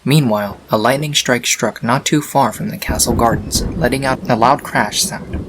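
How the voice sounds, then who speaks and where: A man narrating a scripted fan-fiction story in a measured, dramatic storytelling manner. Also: medium pitch. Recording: faint hiss on home recording.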